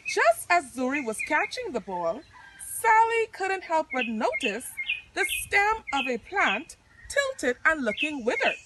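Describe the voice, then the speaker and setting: A woman hosting a story time outdoors, reading aloud and speaking directly to children in an expressive way. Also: high voice, unhurried. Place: outdoors in nature. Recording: outdoor recording with clear birdsong noise. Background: chirping birds.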